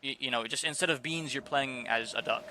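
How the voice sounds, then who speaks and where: A man's voice: medium pitch, a little fast, background noise. A man streaming live, chatting casually and rambling with lots of fillers and restarts.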